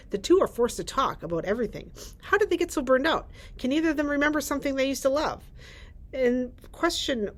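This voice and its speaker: A middle-aged woman, a librarian, presenting book picks and reading blurbs aloud into a camera in a library. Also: fairly high pitch. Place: library room with bookshelves.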